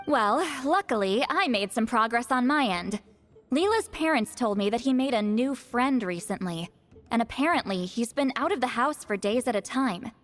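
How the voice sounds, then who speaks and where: A woman's voice: a little high, lively intonation, moderate pace, close to an everyday voice. An actress voicing a seasoned, capable female spirit expert in a video game, with a wry, bossy, matter-of-fact manner. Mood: resigned, practical. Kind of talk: video game cutscene dialogue. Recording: music behind the voice. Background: background music.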